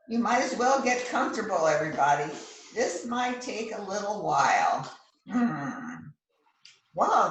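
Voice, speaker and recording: Brash homicide detective for amateur radio mystery, played by a woman; very lively pitch, strong room echo. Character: brash homicide detective taking charge of a crime scene. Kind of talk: amateur radio-style readers theater. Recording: roomy echo, distant mic, background noise.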